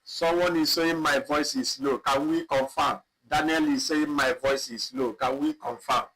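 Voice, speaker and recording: A man teaching a live online spreadsheet lesson, talking slowly and repeating himself as he clicks through data. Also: a little high, fairly even intonation, a bit bright, poor audio. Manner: slow, repetitive, thinking aloud while clicking. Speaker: instructor. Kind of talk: live online software tutorial.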